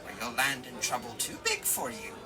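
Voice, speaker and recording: Lively, folksy old hobbit gardener. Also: male voice, mid-range voice, moderate pace. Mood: admonishing. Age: older. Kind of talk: audio drama acting. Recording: music and crowd murmur behind.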